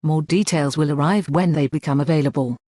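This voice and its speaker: An announcer reading a scripted emergency news bulletin in a formal, measured way. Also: medium pitch, dark tone, no echo.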